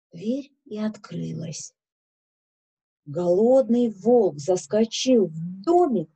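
Unhurried, expressive woman reading to children. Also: mid-range voice, gravelly, husky. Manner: deliberate, expressive, instructive. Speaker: children's story reader. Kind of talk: storytelling to children. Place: small indoor room with bookshelves.

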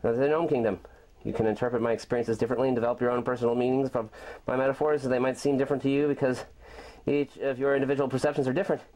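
A man giving an amateur dramatic reading of a science-fiction story, rambling and philosophical, with halting delivery. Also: medium pitch, fairly even intonation, a little mellow. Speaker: author performing his own audio drama. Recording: very noisy amateur home recording.

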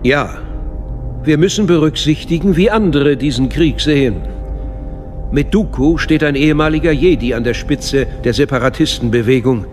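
An actor playing a suave, scheming older male chancellor in a German radio drama, speaking in a measured, courtly, unctuous way. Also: fairly high pitch, darkish tone, soft background music, close to an everyday voice. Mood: courtly, calculating.

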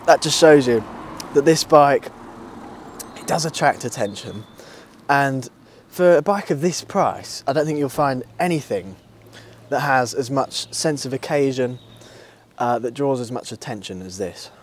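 A British man in his thirties talks in a medium-pitched voice, easygoing and keen. He chats casually like a reviewer addressing his viewers. Ambient outdoor noise and vehicles sit behind him. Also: lively intonation, unhurried. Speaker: motorcycle reviewer, channel host. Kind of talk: casual vlog chat to camera. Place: outdoors at a table outside a country pub.

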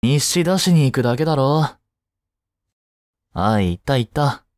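Voice drama role: laid-back, teasing, protective teenage boy , played by a young man; medium pitch, unhurried, casual delivery. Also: expressive, a little mellow, no room sound, clean studio sound, close to an everyday voice. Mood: playful, casual. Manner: casual, blunt, teasing banter with pauses. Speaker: voice actor. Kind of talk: first-person voice drama, casual chat to the listener.

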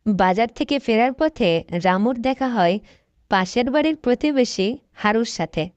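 Female storyteller for animated tale; fairly high register, somewhat muffled sound. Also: normal speed, smooth. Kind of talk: scripted cartoon narration in Bengali.

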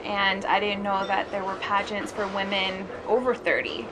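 A woman's voice: mid-range voice, little pitch movement, normal speed, over music, rough recording. A woman in her thirties from New York with a light New York accent, a pageant guest speaking in a friendly, upbeat way. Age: 30s. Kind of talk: casual TV interview. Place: studio set with sofa and flowers.

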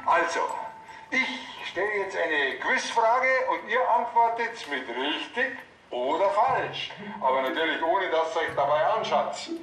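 A man's voice: high voice, animated, a little slow, bright, forceful tone, a little hiss or hum, heavily acted. An actor playing a cheeky Bavarian policeman in a TV crime comedy, with showy mock quiz-host patter. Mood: showy, playful. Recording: roomy, distant sound with music.

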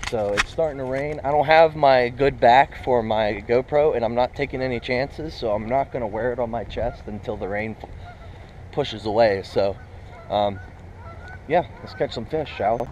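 A man's voice: mid-range voice, moderate pace, a little mellow, a little hiss or hum. A young American man chatting casually to viewers as a fishing vlogger, informal and recorded outdoors on an action camera. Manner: informal, addresses viewers as guys. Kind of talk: casual vlog chat.

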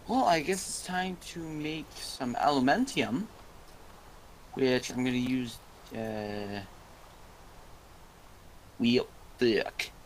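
A man's voice: mid-range voice, slight background noise, rough recording. A man co-hosting a gaming video, joking with a friend and whispering to himself as he crafts. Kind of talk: casual gaming chat.

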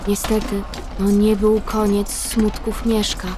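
Slow-paced woman storyteller. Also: mid-range voice, completely flat delivery, over music. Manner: explanatory, addressing young listeners. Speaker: narrator. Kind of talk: scripted storytelling for children.

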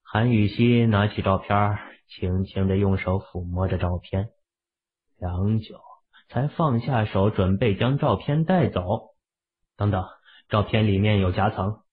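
A man narrates fiction in a fairly low, somewhat husky voice. The delivery is scripted storytelling, and the recording is narrow and phone-like. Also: measured pace. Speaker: audiobook narrator.

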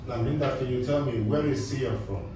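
A man's voice: mid-range voice, a little fast. A man in his thirties giving a spoken English test as a teacher, putting short, simple questions and repeating them to prompt. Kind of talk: oral exam questioning, slow and simple classroom speech. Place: small indoor test room. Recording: echoey room, distant mic, noisy.

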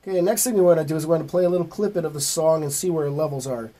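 Tutorial host, male, middle-aged. Relaxed, dark-toned delivery, informal and plain-spoken, walking through steps. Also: on the high side, racing. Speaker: host of music recording tutorial. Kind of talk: informal step-by-step tutorial narration. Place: small home studio with acoustic foam.

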